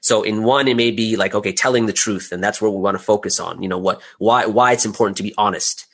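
A man's voice: lowish voice, fast talker, fairly bright tone. A man speaking as an interview guest, a creator explaining his process step by step in a conversational way and posing rhetorical questions as he goes.

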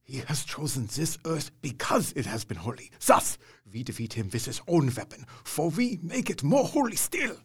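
A man's voice: fairly high pitch, sing-song, normal speed, few pauses, husky, full character voice. An actor playing an elderly vampire hunter professor, solemn and fatherly, speaking in a grave, formal way. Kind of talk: audio drama acting.

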